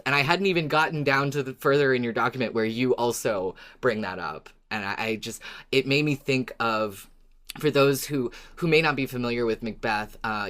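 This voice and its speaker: A woman's voice: extremely low pitch, a bit bright. A woman hosting a podcast in casual discussion, friendly and thoughtful, laughing easily. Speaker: podcast host.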